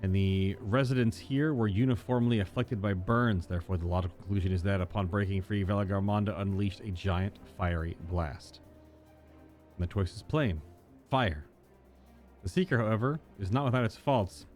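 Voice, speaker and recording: A man reading game dialogue as a learned, methodical tracker, in a measured, formal, explanatory way. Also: fairly low pitch, a little mellow, soft background music, natural voice. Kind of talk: game dialogue read aloud.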